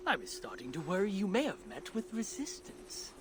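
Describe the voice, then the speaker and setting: An actor playing an older dwarf smith, learned and earnest, speaking formally and with nervous deference in a video game. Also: male voice, sits high, big pitch swings, normal speed. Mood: businesslike. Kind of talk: video game cutscene acting.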